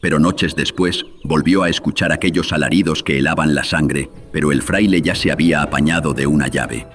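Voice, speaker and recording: A man's voice: rumbling low, a little fast, noisy background. A man giving solemn, literary narration of a horror tale, his voice processed with studio filters. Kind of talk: scripted horror story narration.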